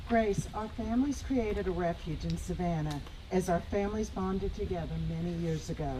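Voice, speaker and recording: A woman reads sincere wedding wishes in a slightly low voice. Clear background noise hangs under her words. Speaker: family friend giving a wedding blessing.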